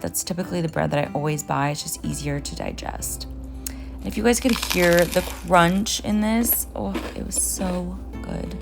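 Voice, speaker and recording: A woman in her thirties, originally from Finland, talks her viewers through a day of meals in a loose, friendly voice-over. Her voice sits low for a woman and comes with soft music underneath. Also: gravelly. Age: 30s. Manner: casual, chatty, upbeat, addresses viewers as guys. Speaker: nutritionist and vlogger. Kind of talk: what-I-eat-in-a-day vlog narration.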